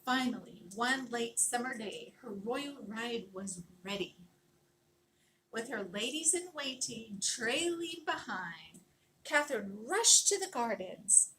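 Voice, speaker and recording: A woman reading a children's picture book aloud in an expressive, dramatic storytelling manner, leaning on key words and drawing them out. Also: fairly high pitch, slow pace, a little hiss or hum, low-quality recording. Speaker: storybook reader.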